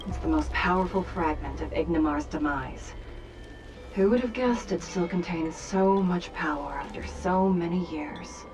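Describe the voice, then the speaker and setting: Woman as authoritative female judge, slightly low voice, formal, composed, measured game dialogue, music behind. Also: close to an everyday voice, poor audio. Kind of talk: video game character acting.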